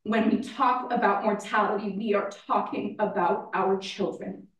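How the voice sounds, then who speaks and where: A woman playing a grieving, reflective mother in a staged play reading, speaking deliberately with pauses. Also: mid-range voice, steady tone, close to an everyday voice. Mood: tender, reflective. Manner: slow, deliberate, with long pauses. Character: grieving mother eulogizing her daughter, halting and reflective. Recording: roomy, distant mic in echoey space.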